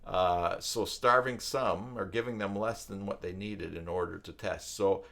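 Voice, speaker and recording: A man's voice: deep voice, steady tone, measured pace, gravelly, fairly bright tone, slight background noise. A man in his fifties hosting a live stream, talking in a casual, rambling, conversational way. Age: 50s. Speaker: live stream host and tutorial maker. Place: downstairs room at home.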